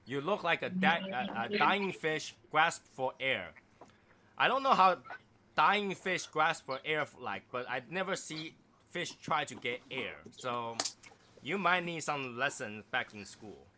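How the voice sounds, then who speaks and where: A man's voice: on the high side, animated, a little slow, background noise. A man hosting a casual livestream chat, rambling and teasing his guests with a self-mocking humor. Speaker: livestream host.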